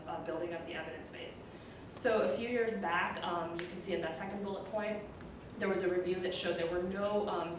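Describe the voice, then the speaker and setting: Fast-talking woman lecturing in an echoey room. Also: fairly low pitch. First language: bilingual, Puerto Rican background. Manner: explanatory, frequent ums and "you know". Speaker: researcher giving a lecture. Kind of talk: academic lecture with slides, semi-conversational. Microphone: distant room microphone. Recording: roomy echo, distant mic, clear background noise.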